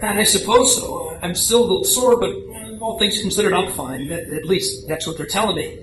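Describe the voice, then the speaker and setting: Man playing flustered, high-voiced husband. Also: a little fast, fairly bright tone. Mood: sore, bewildered. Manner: stammering, protesting, whiny. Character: flustered, indignant hypochondriac husband protesting his innocence. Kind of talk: amateur radio drama reading. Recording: echoey room, noticeable background noise.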